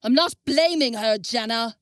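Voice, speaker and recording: A woman's voice: on the high side, measured pace, no echo, studio quality, voice pushed up, natural voice. Woman voicing an enraged young man. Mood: anguished. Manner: shouting, voice breaking near tears. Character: furious young man, betrayed partner.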